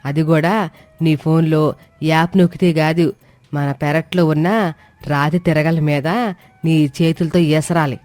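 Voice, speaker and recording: A woman's voice: low-pitched, flat delivery, normal speed, long pauses, darkish tone, dry recording, natural voice. A woman voicing a domineering, sarcastic older mother-in-law in a cartoon moral story, scolding with pointed rhetorical questions. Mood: stern, commanding. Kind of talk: acted dialogue in an animated moral story. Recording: music behind the voice. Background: music.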